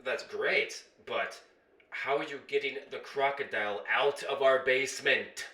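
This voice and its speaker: Echoey, a little off the mic, a man plays a nervous, put-upon barbershop worker in a radio drama. He is sarcastic and incredulous, with a bright edge to his voice. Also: mid-range voice, measured pace. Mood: incredulous, wary. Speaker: actor in a radio play. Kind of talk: radio play acting. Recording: roomy, echoing, slightly distant mic.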